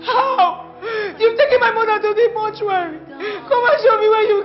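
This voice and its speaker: A woman's voice: very high-pitched, lively intonation, quick pace. A woman with a Nigerian accent playing a frantic young daughter who keeps demanding to see her mother. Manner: frantic, repeating herself. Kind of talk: film acting. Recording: dull lo-fi sound, faint music.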